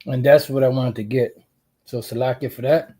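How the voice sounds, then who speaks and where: A man with a soft, dark-toned voice talks through scripture passages as a channel host. He rambles, circling back with asides and restarting his sentences as he reads out verses. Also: medium pitch, fairly even intonation, normal speed, slightly rough. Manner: rambling, full of "you know" asides and restarts. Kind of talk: casual religious commentary reading scripture.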